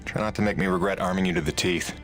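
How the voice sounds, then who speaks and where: Wry, low-voiced working hero. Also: male voice, steady tone, fast talker, a bit bright, soft background music. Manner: casual, dry, wisecracking. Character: plainspoken, wry working-man hero, a hired pilot. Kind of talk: video game character dialogue.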